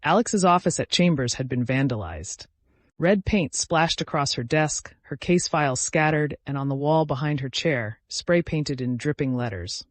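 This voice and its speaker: A man's voice: fairly high pitch, moderate pace, no room sound. A man narrating a scripted thriller audiobook in a dramatic storytelling style.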